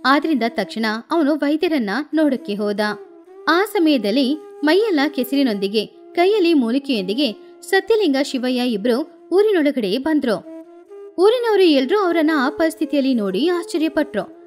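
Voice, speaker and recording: A woman narrates a scripted moral story in a high voice. She works as a storyteller, reading the tale over faint background music. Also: sing-song, moderate pace, darkish tone.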